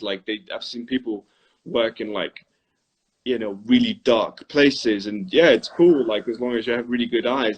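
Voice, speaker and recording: A man's voice: fairly low pitch, rough recording. A middle-aged man hosting a casual interview, speaking thoughtfully and rephrasing as he goes. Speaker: interviewer, host.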